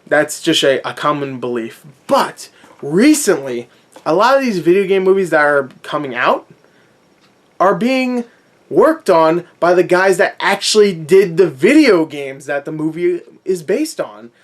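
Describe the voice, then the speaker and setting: A man's voice: a little high, big pitch swings, measured pace. A man giving a casual, unscripted movie rant as an online host, conversational and opinionated, with emphatic repetition. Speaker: YouTube movie reviewer and host.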